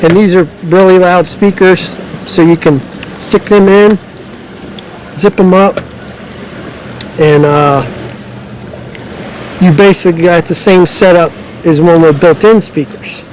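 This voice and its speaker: Casting: male product demonstrator, slightly high voice, informal and off the cuff, some background noise. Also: steady tone, slow, long pauses, a little mellow, poor audio. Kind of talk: casual unscripted product demonstration.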